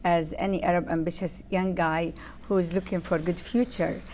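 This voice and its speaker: A woman's voice: fairly low pitch, little pitch movement, normal speed, heavy background noise. A woman hosting a TV interview, reading a formal guest introduction and then prompting with brief encouraging questions. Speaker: talk show host.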